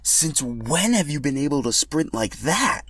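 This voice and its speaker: A scornful, cocky male dinosaur racer from a storybook tale, as a man narrator puts him on. He sounds smug and dismissive, with a lively, swooping delivery recorded very dry. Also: on the high side, measured pace, no echo, pitched above the performer's own voice, full character voice.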